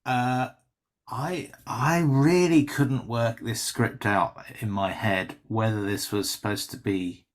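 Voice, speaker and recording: A man giving informal, halting feedback on a script as a member of a panel. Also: mid-range voice. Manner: hesitant, halting.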